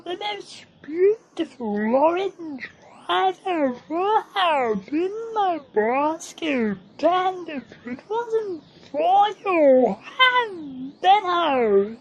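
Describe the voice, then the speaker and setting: A man's voice: very high-pitched, heavily acted, poor audio. A man voicing a sweetly sentimental childlike character in a character voice sample. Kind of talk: character voice sample, slow monologue.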